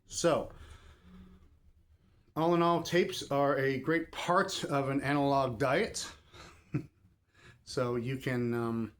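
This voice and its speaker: A man giving a casual, off-the-cuff review as a shop host, rambling and pausing mid-thought to rephrase. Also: medium pitch, steady tone, a bit bright. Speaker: record shop seller and host. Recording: clear background noise and ambience.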